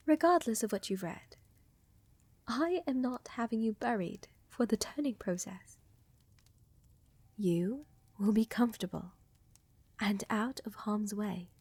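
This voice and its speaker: A woman playing a seductive, tender vampire countess in a soft, intimate roleplay ASMR style, often dropping to a whisper, with a teasing, assured manner and formal wording. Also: medium pitch, takes it slowly, pauses often, husky, no echo. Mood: tender, reassuring. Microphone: close microphone, dry.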